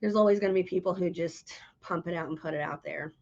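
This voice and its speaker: A woman's voice: on the low side, fast talker, darkish tone, muffled recording. A woman hosting a casual interview, asking questions and chatting about her own opinions. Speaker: interviewer and host.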